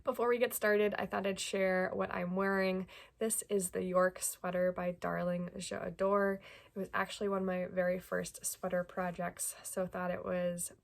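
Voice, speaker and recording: A woman's voice: fairly low pitch, flat delivery, moderate pace, gravelly. A young woman with a stuffy nose from a cold, chatting casually about her knitting as a podcast host, with lots of ums. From Greater Portland, Maine. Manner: chatty, many ums, friendly. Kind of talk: casual chat to camera.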